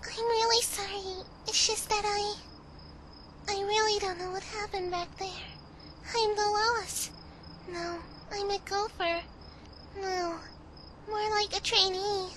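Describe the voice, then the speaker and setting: A woman's voice: very high voice, fairly even intonation, takes it slowly, long pauses, fairly bright tone, a little hiss or hum, heavily acted. A woman playing a timid, sweet schoolgirl in an anime fan dub, childlike and hesitant. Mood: apologetic, dejected.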